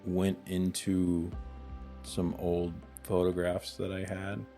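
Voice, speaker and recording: A man in his forties, co-hosting a podcast, talking candidly and a little haltingly in casual chat. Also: deep voice, completely flat delivery, dark tone, soft background music. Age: 40s. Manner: candid, hesitant with restarts. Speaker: podcast co-host.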